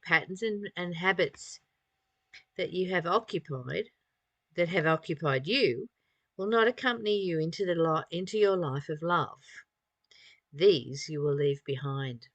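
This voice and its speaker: Female spiritual reader and teacher, unhurried and reflective, mid pitch, close dry sound. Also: no echo, lo-fi sound. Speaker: spiritual study teacher reading aloud and commenting. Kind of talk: reflective spiritual reading with informal commentary.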